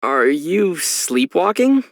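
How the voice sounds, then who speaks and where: An actor voicing a young male adventurer, calm, easygoing and gently wry. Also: a little high, takes it slowly, no echo, clean studio sound, exaggerated voice. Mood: teasing. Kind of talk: video game character voice lines.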